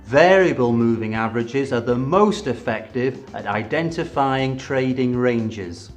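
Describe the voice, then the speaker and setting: A man's voice: medium pitch, lively intonation, unhurried. Man narrating an instructional film over music. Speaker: presenter of an educational film. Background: background music.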